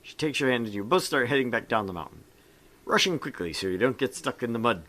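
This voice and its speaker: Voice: medium pitch, lively intonation, quick pace, a bit bright. A game show host reading visual novel narration aloud in a casual, dramatic storyteller manner with joking asides.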